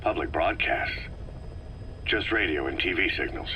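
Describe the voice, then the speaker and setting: A man's voice: a bass voice, lively intonation, unhurried, sharp tone, a little hiss or hum, full character voice, poor audio. A man playing a stoic military commander in a video game, speaking in clipped, laconic phrases. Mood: stoic, probing. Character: terse, stoic male soldier commander of few words. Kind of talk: scripted video game cutscene dialogue.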